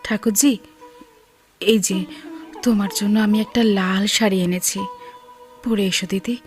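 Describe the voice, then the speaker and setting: A woman's voice: medium pitch, fairly even intonation, a little slow, darkish tone, faint music behind, natural voice. A woman acting in a radio drama as a kindly, comforting village sister-in-law.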